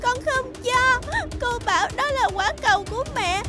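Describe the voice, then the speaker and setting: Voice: extremely high pitch, normal speed. A performer voicing a stubborn, sad little girl in a cartoon, pouting and tearful. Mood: tearful, accusing. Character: stubborn, grieving little girl who misses her mother. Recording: loud music under the voice. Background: background music.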